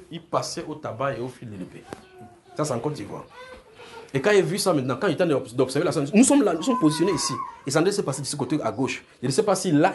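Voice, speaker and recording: Noisy recording of a male African preacher. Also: fairly high pitch. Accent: Francophone African. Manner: repeats phrases, checks listeners follow. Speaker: preacher telling prophetic visions. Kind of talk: extempore prophetic sermon to a congregation.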